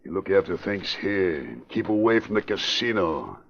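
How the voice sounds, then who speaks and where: A cold gang boss who gives curt, commanding orders. His voice is very deep. The old radio broadcast sound is dull and lo-fi. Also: big pitch swings, moderate pace, gravelly, exaggerated voice. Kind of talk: 1940s radio acting.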